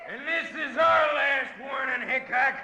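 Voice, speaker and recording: Menacing lynch-mob villain, strained high yell, echoing, noisy vintage radio drama. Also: male voice, unhurried, gravelly, heavily acted. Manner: shouting, threatening. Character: hostile lynch-mob leader, shouting frontier villain. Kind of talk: 1950s radio western acting. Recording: echoey, noisy old radio, crowd behind.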